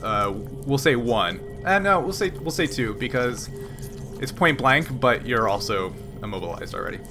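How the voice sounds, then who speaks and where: A man narrating as game master of a tabletop role-playing game, halting and restarting often as he describes the action. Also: medium pitch, expressive, over music. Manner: halting, filler-heavy narration with frequent restarts.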